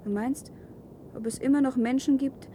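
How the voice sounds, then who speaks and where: An actress playing a calm, earnest woman living free in a wasteland, in a 1970s German radio play. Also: high voice, normal speed, slight background noise, lightly acted. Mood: calm, reassuring.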